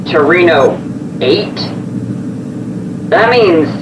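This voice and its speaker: A wry rattled gov official in a suspense audio drama, high voice, echoey and noisy. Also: male voice, expressive, very slow. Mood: grave, probing. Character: alarmed, sardonic male government space official. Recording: roomy, distant, dull, noisy vehicle ambience.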